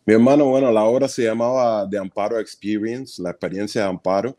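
A Cuban stage actor in his thirties, a guest in an interview, telling stories in an earnest, animated way with quick bursts of speech. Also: on the low side. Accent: Cuban Spanish. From Cuba. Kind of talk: casual interview storytelling.

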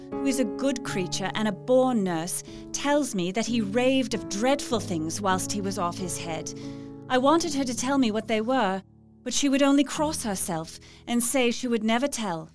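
Tender young Victorian lady, high voice, music behind. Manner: earnest, tender, confiding. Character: devoted young Victorian woman writing to her dearest friend. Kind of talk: audio drama letter narration.

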